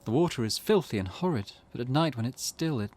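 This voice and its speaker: A man's voice: mid-range voice, expressive, brisk, a little mellow, natural voice. A man voicing a grieving, reserved mother in a visual novel, with a restrained and somber manner. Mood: sorrowful, bitter. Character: grieving, reserved, bitter mother of a lost son. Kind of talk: reading visual novel dialogue aloud.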